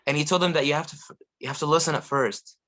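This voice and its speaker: A man's voice: on the high side, fast. Young Egyptian man with American-style English. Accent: American-style English learned by a non-native. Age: 20s. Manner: animated, laughing often, frequent restarts. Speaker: guest polyglot. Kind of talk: casual conversational interview.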